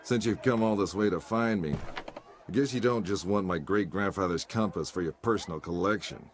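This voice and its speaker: An actor playing a cynical, world-weary adventurer for hire in a video game, with a wry, sardonic way of talking. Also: male voice, sits low, a little fast, a little hiss or hum, close to an everyday voice. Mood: dry, reluctant. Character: cynical mercenary adventurer man, wry and world-weary, living off his family name. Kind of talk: video game acting and scripted narration. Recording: loud music behind voice.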